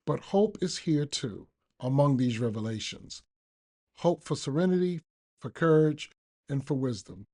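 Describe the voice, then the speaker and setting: Male reader, slow pace, mid-range pitch, measured and deliberate phrasing, spoken reflection. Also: animated, mellow. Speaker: guest reader on a recovery podcast. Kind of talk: reading a daily reflection aloud.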